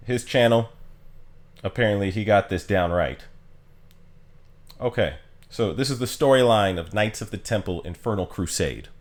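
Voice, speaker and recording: A man chatting casually as a game streamer, informal and halting as he thinks aloud. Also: on the low side. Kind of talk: casual livestream chat.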